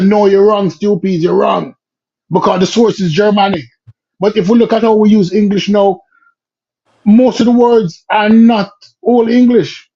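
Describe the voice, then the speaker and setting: A man's voice: high voice, dark tone. A man arguing a point in a podcast discussion, firing off rhetorical questions in a lecturing way. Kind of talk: argumentative discussion. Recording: dull lo-fi audio.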